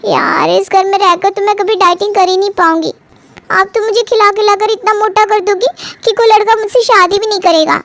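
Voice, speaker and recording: A woman's voice: extremely high pitch, fairly even intonation, brisk, fairly bright tone, pitched above the performer's own voice. A woman voicing a whiny young daughter who complains and frets about her weight in a cartoon story. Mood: exasperated, whiny.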